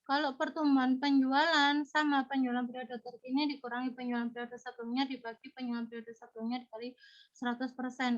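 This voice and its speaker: A woman's voice: high voice, dull audio. A woman teacher with a cold explaining exam answers step by step, her voice a little congested and unclear. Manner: patient, step-by-step explaining, addresses students directly. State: has a cold.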